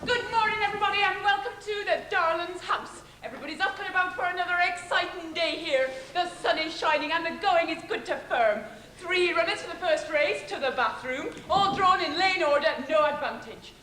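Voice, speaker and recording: A woman playing a busy mother who talks like a horse-race commentator, brisk and theatrical, in a stage pantomime. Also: extremely high pitch, sharp tone. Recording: echoey, noisy stage recording.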